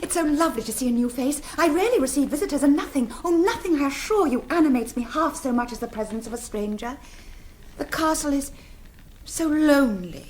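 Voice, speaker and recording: An actress playing a languid, melancholy young countess of vampire blood in a theatrical seventies radio drama. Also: female voice, sits high, expressive, fairly quick, darkish tone, a little hiss or hum. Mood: eager, gracious. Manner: theatrical, incantatory, swooning. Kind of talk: 1970s radio drama acting.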